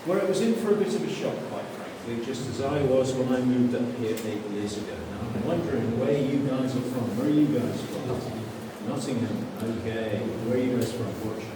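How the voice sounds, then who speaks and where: A man's voice: medium pitch, fairly quick, hardly any pauses. Chatty male cave guide echoing underground. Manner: chatty, explains and asks the group questions. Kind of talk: guided tour talk to a group. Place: inside a limestone show cave. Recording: echoey cave, distant camera mic, noisy.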